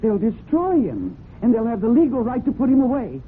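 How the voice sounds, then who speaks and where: An actress playing a proud, protective young horse owner, guarded and firm, in an old-time radio drama. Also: female voice, on the high side, big pitch swings, brisk. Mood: anguished, pleading. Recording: muffled, noisy old radio broadcast.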